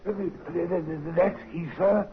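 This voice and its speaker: A man's voice: high voice, steady tone, moderate pace. An actor playing a nervous shopkeeping chemist who hesitates and stammers through his answers in an old radio drama. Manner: hesitant, full of uhs, stammers. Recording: tinny vintage radio broadcast.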